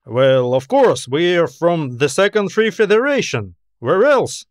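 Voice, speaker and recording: An earnest young space marine acted out by a male narrator for a sci-fi audiobook. The voice is raised and closely recorded, eager and a touch self-important, quick to take offence. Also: fairly high pitch, very animated, dry recording, clean studio sound, pitched above the performer's own voice. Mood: baffled. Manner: cheerful, eager, indignant and condescending.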